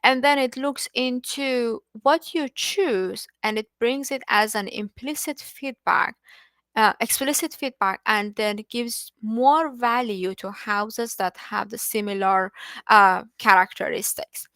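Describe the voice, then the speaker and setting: A woman whose first language is Persian walks a study group through a technical topic in a fairly high voice. She explains patiently, restarting words and pausing on fillers. The sound is dry and close, with no room echo. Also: a bit bright. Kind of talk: live online technical lecture.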